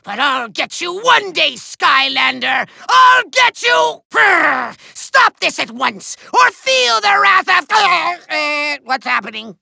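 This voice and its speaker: A man voicing a short-tempered megalomaniac villain in a giant robot body for a video game, shrill, raspy and nasal, pompous, bombastic and gloating. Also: big pitch swings, slow, few pauses, pushed and bright, no room sound, exaggerated voice. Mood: pained, indignant. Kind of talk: theatrical cartoon villain acting.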